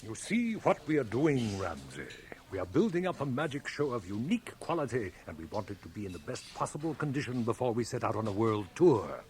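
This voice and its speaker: An actor playing a suave, imperious middle-aged stage magician in a radio drama, with a measured, cultivated, pompous manner. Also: male voice, mid-range voice, big pitch swings, a little mellow, lightly acted. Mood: lofty, assured. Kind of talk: 1980 radio drama acting. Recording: faintly noisy cassette copy of radio drama.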